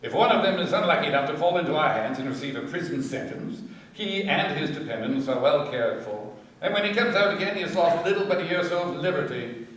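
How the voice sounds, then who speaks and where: A man playing a shrewd, composed detective inspector in a radio play, speaking with brisk, measured authority. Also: a little high, lively intonation, a bit bright, a little hiss or hum. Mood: measured, knowing. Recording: very echoey, distant from microphone.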